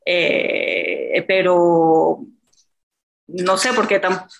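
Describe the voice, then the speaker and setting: Halting French-native woman, searching words. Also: on the low side, gravelly, slight background noise. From France. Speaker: group participant. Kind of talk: casual personal sharing.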